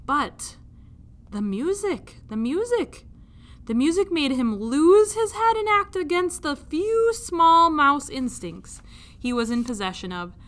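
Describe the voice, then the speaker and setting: A woman reading a children's story aloud like a teacher, with expressive narration. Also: sits high, slow pace.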